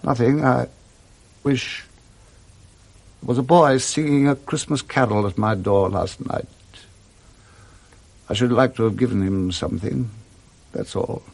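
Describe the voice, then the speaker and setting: An actor playing an old miser, softened, wistful and excitable, in a 1960s radio drama with an emotional manner. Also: male voice, mid-range voice, measured pace, gravelly, long pauses. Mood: regretful.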